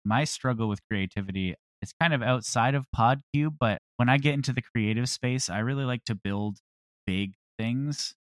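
A man's voice: mid-range voice, moderate pace, no echo. A man talking casually as a guest in an interview. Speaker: comedy podcast creator.

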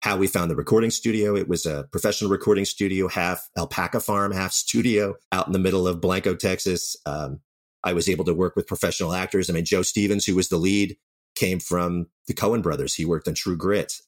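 Deep-voiced man in candid conversation. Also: steady tone, brisk. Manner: candid, self-deprecating. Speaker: writer and audio drama director, interview guest. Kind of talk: conversational interview storytelling.

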